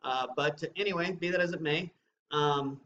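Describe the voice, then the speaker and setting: A man's voice: on the high side, moderate pace, a bit bright. A middle-aged man co-hosting a casual beer review, chatty and rambling with many fillers. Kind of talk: casual chat. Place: small room with shelves of beer bottles. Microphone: webcam recording from a distance. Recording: roomy, distant, dull webcam sound with faint hiss.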